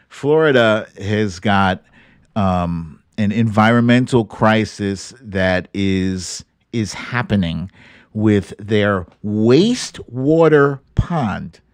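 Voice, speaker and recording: A man with a fairly low voice hosts a casual livestream. He talks in a wry, informal, stop-and-start way, at an easy pace in a dry, close room. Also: a little mellow, no echo. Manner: informal, wry, halting delivery with occasional swearing. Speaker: livestream host. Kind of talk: casual live commentary, reading viewer comments.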